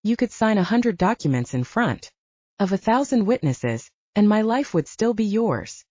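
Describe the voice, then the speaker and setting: A woman reading the lines of a calm, earnest male werewolf alpha in an audiobook. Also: medium pitch, moderate pace, no echo, muffled recording.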